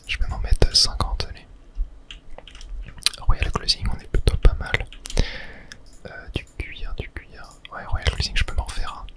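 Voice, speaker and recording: A man from whispers casual ASMR gaming commentary in a breathy, unvoiced voice, murmuring his thoughts aloud. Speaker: ASMR gaming video host.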